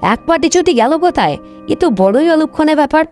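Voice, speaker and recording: A woman's voice: sits high, lively intonation, a little fast, darkish tone, faint music behind. A woman voicing a young, fretful princess in a fairy-tale cartoon, speaking in a theatrical, plaintive way. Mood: startled.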